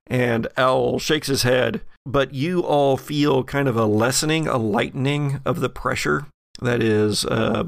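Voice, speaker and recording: Very creaky-voiced man narrating a game. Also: mid-range voice, no room sound. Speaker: game master. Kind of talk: narrating a horror-comedy tabletop game.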